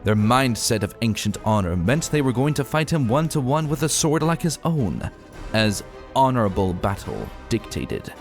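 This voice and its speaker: A man's voice: medium pitch, sing-song, moderate pace. A man giving a solemn, theatrical dramatic reading of a scripted story. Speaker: narrator. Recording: music behind the voice. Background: background music.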